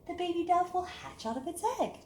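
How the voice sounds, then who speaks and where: A woman's voice: very high-pitched, animated, a little fast, pitched above the performer's own voice. An innocent young female rose from a storybook, voiced by a woman reading aloud, hopeful and tender-hearted. She speaks with earnest, wondering emphasis in an echoey room with slight noise. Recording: echoey room with clear background hiss.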